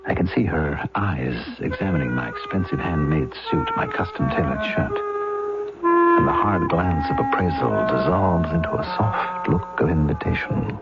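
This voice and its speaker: An actor playing a boastful, brooding seducer with a menacing streak in a 1970s radio drama, in formal, deliberate phrasing. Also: male voice, rumbling low, animated. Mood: brooding narration. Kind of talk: 1970s radio drama acting, shifting between dialogue and first-person narration. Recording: dull vintage radio recording with music.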